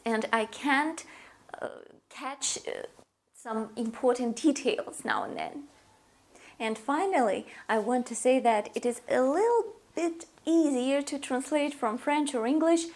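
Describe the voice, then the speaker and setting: A young woman teaching a language lesson in front of a whiteboard, explaining slowly and gesturing as she goes. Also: on the high side, darkish tone. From Russia. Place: indoors, small room in front of a whiteboard.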